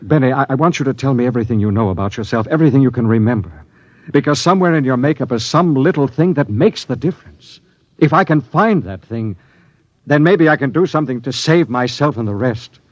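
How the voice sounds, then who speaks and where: An actor playing a grave, driven expedition captain, dramatic and deliberate, in old-time radio drama style. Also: male voice, medium pitch, animated, dry recording, close to an everyday voice. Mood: urgent. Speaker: narrator and lead actor. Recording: dull vintage radio recording with music.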